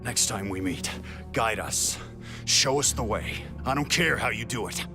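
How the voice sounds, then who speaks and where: An actor playing a gruff, weary, rebellious warrior with a soft spot in a video game, gravelly and terse. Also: male voice, medium pitch, music in the background, lightly acted. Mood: earnest. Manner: terse, unhurried, understated. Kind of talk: cinematic video game acting.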